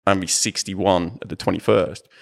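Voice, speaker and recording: A man's voice: low-pitched, steady tone, moderate pace, gravelly. A forty-year-old man in casual chat as a co-host, informal and sweary.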